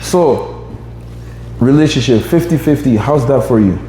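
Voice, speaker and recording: A man's voice: medium pitch, lively intonation, a little slow, reverberant, in a large hall, background noise. A man in his twenties hosting a podcast, chatting casually and rambling with lots of fillers. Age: 20s. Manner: rambling, many fillers like "you know what I mean". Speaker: podcast host.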